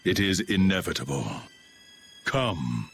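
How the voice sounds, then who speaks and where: An actor playing a grave, aloof swordsman bitter about humanity, speaking in a solemn, formal, measured way in a video game. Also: low voice, big pitch swings, creaky, full character voice. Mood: resolute, somber. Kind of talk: dramatic video game voice acting.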